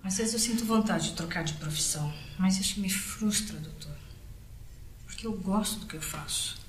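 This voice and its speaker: Actress as anxious teacher, confiding and worried; roomy, echoing sound. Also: female voice, medium pitch, moderate pace, slight background noise, natural voice. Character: anxious female teacher troubled about her voice. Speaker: teacher as a patient. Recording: roomy echo.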